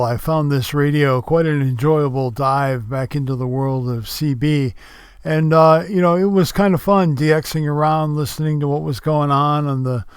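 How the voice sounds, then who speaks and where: Casual man reviewing gear, slightly high voice. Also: smooth, dry recording. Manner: informal, explanatory. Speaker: product reviewer. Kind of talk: casual product review narration.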